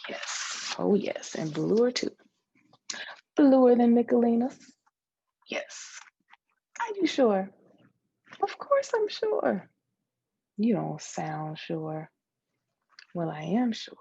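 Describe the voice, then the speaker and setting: A woman reading novel dialogue aloud expressively, often hushed and breathy, switching between speakers. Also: medium pitch, dry recording, dull audio. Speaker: literary reader.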